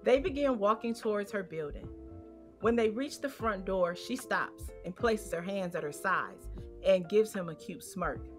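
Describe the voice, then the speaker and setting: A woman's voice: mid-range voice, animated, unhurried. American woman narrating a story over music.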